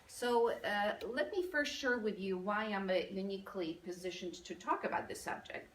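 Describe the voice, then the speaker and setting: A woman from Poland with a Polish accent, giving a lively motivational business talk to a live audience. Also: medium pitch, normal speed. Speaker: speaker. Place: event room with audience. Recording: echoey room from a distance.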